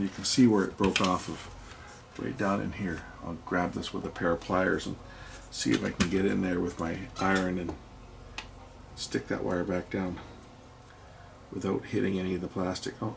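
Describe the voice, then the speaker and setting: A man's voice: deep voice, flat delivery, moderate pace, gravelly, noisy background. A man giving casual hands-on narration as host of an electronics repair video, half muttering to himself as he works.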